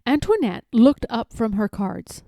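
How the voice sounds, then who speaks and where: A woman reading a children's storybook aloud with expressive narration. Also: fairly high pitch, a little slow, gravelly, dry recording.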